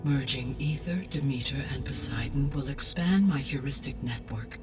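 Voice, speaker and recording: Man voicing a calm, distant, formal machine mind. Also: medium pitch, moderate pace, over music, natural voice. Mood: measured, analytical. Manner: precise, formal, unemotional delivery. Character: calm, formal artificial intelligence, measured and analytical. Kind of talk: scripted video game dialogue.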